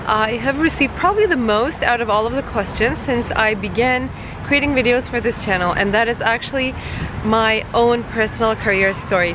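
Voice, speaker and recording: A woman in her thirties, a native Turkish speaker, hosting her own channel and telling her story in a chatty, conversational way with many "uh"s and "um"s, recorded outdoors in a city playground. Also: on the high side. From Turkey. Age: 30s. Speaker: channel host. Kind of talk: casual vlog storytelling to camera. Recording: outdoor recording with traffic noise. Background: traffic and city ambience.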